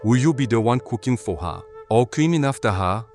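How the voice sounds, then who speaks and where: A man's voice: mid-range voice, lively intonation, fairly quick, a little mellow, faint music behind, natural voice. A man voicing a disapproving, worried father in a folktale, questioning and reproachful. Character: disapproving father worried about his spoiled daughter. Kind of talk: acted folktale dialogue.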